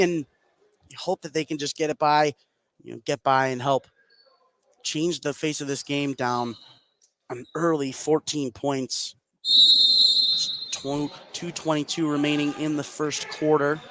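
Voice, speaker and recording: A man doing live radio play-by-play of a basketball game, running through the action in the present tense and lifting his voice on big plays. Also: medium pitch. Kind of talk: live radio sports commentary. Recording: clear background noise, live gym ambience. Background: ambient noise of a basketball game.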